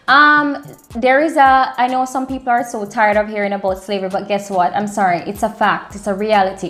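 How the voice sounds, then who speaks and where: Jamaican woman vlogger, mid-range voice, casual and emphatic, filler-heavy, soft music bed. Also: medium pitch. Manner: earnest, emphatic, with frequent fillers. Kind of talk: casual explanatory vlog.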